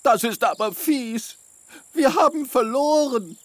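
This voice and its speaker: A man's voice: very high-pitched, lively intonation, takes it slowly, a bit bright, full character voice. An actor playing a cowardly, childish robber sidekick in a children's radio play, whining and parroting his boss. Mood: pleading, scared. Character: whiny, cowardly male robber sidekick, high-voiced and childish.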